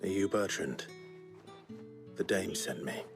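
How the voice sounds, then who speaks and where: Grim branded fighter for a game cutscene, extremely low voice, few words. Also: male voice, slow pace, creaky, over music, heavily acted. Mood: guarded. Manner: terse, brooding, low-key. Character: grim, terse branded male warrior on a search. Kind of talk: video game cutscene acting.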